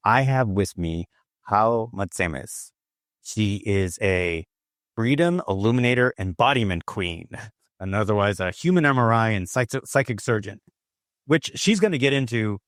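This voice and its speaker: Energetic low-voiced male podcast host. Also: no room sound, studio quality. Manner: energetic, hype-driven, effusive. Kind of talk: hyped podcast hosting.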